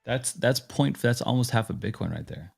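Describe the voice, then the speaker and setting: A man's voice: medium pitch, expressive, normal speed, gravelly, soft background music. A man hosting a casual chat, laid-back and joking, easily amazed by what he is shown. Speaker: show host.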